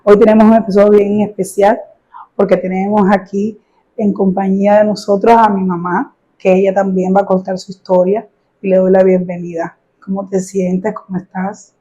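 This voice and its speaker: A woman hosting an interview, asking gentle, encouraging questions. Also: medium pitch, flat delivery, normal speed, lo-fi sound. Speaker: host. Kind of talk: casual interview.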